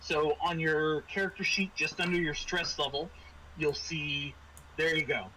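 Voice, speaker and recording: A man's voice: a little high, fairly even intonation, a little slow, bright, forceful tone. A man narrating and refereeing a tabletop roleplaying game as game master. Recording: noisy room recording.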